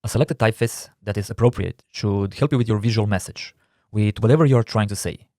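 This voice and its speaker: A man's voice: on the low side, quick pace, warm tone, dry recording, studio quality. A man with a Croatian accent, a non-native English speaker, explaining typography terms in a careful recorded course narration. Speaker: typography instructor.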